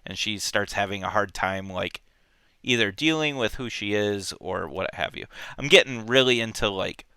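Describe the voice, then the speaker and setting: A man's voice: on the low side, moderate pace, sharp tone, smooth, dry recording, studio quality. A man casually discussing a book as a host, rambling and thinking aloud as he goes. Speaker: book club podcast host.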